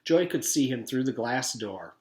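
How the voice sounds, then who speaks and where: A man's voice: mid-range voice, expressive, moderate pace, darkish tone, reverberant. A man reading a children's story aloud as a teacher would to his class. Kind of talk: storybook read-aloud with character voices.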